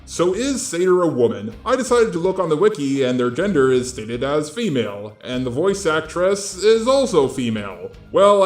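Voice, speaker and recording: A man delivering scripted online commentary in a blunt, informal way, speaking straight to his viewers. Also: on the high side. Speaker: host of online drama commentary videos. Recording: roomy echo with music underneath.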